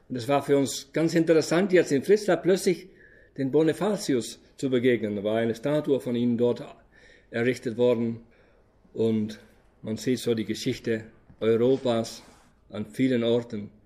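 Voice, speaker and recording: A Dutch-accented man addresses a congregation in German, his second language. He talks in a friendly, unhurried way and now and then corrects a word mid-sentence. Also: medium pitch, mellow. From Netherlands, raised in Friesland. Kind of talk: church address.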